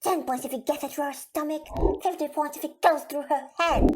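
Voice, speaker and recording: A woman's voice: sits high, steady tone, normal speed, natural voice. A woman playing the sulky, self-pitying ghost of a teenage girl in an audio drama, whiny, accusing and melodramatic. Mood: wounded, accusing.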